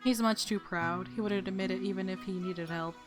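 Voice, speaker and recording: A woman's voice: medium pitch, fairly even intonation, a little fast. A woman hosting a let's play, reading visual novel narration aloud with casual commentary. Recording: music clearly audible behind voice. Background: game music.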